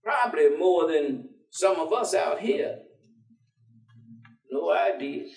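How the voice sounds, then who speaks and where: A warm American ex-con preacher on stage, folksy and slangy. His high voice swings widely as he teases and spins stories. He is heard from a distance in an echoey theatre. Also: lively intonation, slow pace, vocal fry, full character voice. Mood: reflective. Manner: folksy slangy drawling storyteller, teasing, chuckling. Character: warm, streetwise ex-convict man turned preacher, stubbornly cheerful.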